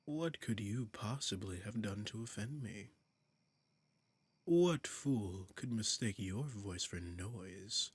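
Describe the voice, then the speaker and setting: A man playing a flirtatious, commanding demon prince in an intimate roleplay audio drama, silky, teasing and imperious, with a breathy voice that often drops toward a whisper. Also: on the low side, expressive, slow pace, no room sound, full character voice. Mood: amused, admiring.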